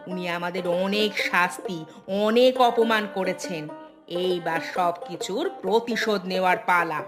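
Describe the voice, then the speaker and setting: A woman's voice: fairly low pitch, normal speed, natural voice. A woman voicing a scheming, vengeful village gossip in a cartoon, with an insistent, dramatic manner and music behind. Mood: gloating, conspiratorial. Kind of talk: Bengali cartoon voice acting.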